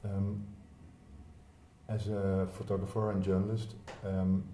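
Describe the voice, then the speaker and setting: A male interviewer asking measured, slightly hesitant questions. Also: sits low, completely flat delivery, dark tone, reverberant, a little hiss or hum.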